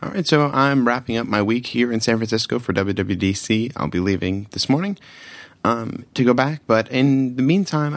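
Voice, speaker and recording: A man hosting a solo tech podcast, his voice slightly raspy from yelling, rambling and often correcting himself. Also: lowish voice, no room sound. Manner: rambling, self-correcting, many fillers. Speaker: podcast host.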